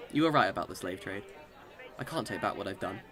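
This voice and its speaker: A man's voice: low voice, expressive, fairly quick, a crowd in the background. A man acting in a radio drama as a boastful immortal Englishman who swings between cheer and despair, with theatrical old-time speech. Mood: earnest.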